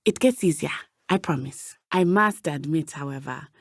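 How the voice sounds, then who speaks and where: A woman's voice: low-pitched, moderate pace, dry recording, clean studio sound. A woman playing a brave, earnest teenage witch in a video game, with a southern African accent and formal, precise phrasing. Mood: friendly, composed. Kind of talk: video game character dialogue.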